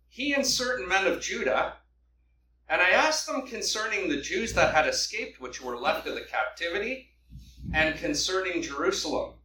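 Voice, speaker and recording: A man from Canada preaching, praying and reading scripture aloud with a loud open-air preaching voice, standing back from the microphone. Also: fairly high pitch, a little hiss or hum. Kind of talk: sermon with prayer and scripture reading. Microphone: microphone held back from the mouth. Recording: echoey hall, mic at a distance.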